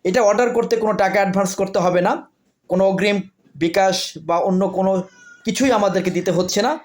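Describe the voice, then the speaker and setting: A man with a Bangladeshi accent presenting products in a repetitive sales pitch. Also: fairly even intonation, quick pace.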